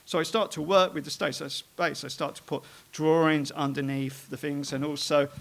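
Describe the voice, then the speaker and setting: A man's voice: a little high, fairly even intonation, moderate pace, vocal fry, fairly bright tone. Rambling man with many fillers. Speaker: artist giving a talk on his work. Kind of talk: informal talk about his art.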